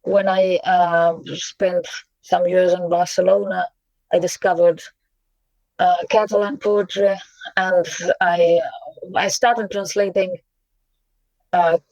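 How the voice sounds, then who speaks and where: A Russian-born woman around forty speaks slowly and thoughtfully, pausing often to find her words between fillers. The sound is dry and a little dull, like a modest lo-fi recording. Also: on the low side, level tone, creaky, no room sound. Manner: thoughtful, hesitant, many pauses and fillers, soft laughs. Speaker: poet and translator, interview guest. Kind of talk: reflective interview answers.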